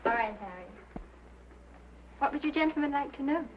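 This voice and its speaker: High-voiced earnest showgirl. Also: lively intonation, normal speed, a little hiss or hum. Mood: obliging. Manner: earnest, dramatic, gossipy recounting. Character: young showgirl singer, earnest, obliging, easily upset. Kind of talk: old-time detective drama acting. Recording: muffled old radio-era recording.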